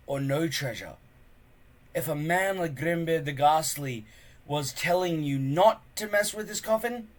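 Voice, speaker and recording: Guy reading a kids book out loud, super lively up and down delivery. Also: a little high, rough recording. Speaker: storybook reader. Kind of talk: expressive scripted narration of a children's book.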